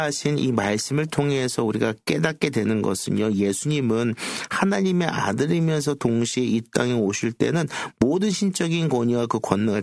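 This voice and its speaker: A man's voice: mid-range voice, gravelly, darkish tone, no room sound, studio quality. A man speaking as a pastor, explaining Bible passages in a conversational lesson. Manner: explanatory, quotes scripture. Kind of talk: Bible teaching in a conversational group discussion.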